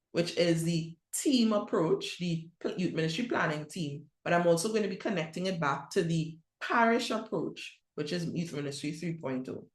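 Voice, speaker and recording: A woman's voice: lowish voice, roomy sound. A middle-aged woman with a Trinidadian accent giving a conference presentation on youth ministry, talking in a direct, conversational way. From Trinidad and Tobago. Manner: conversational, direct, with restarts and rhetorical questions.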